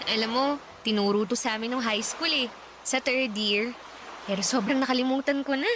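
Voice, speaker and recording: A woman acts out a humble teenage housemaid in a radio drama, bashful and hesitant as she talks. The voice is fairly high, with faint noise in the background. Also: a little fast, lightly acted. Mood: bashful, unsure. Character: shy, humble nineteen-year-old housemaid being tutored. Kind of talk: Tagalog radio drama acting.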